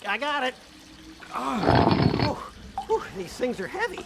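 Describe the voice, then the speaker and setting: A woman playing a whiny, put-upon treasure-hunting lackey with a husky voice in a radio play. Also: high-pitched, sing-song, a little slow, heavy background noise, full character voice. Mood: put-upon, straining.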